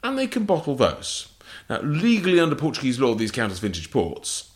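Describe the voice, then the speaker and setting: A man's voice: mid-range voice, huge pitch swings, fairly quick. An English man, an author on port wine, answering interview questions in precise, clipped phrases. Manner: precise, explanatory, lists facts in short clipped phrases.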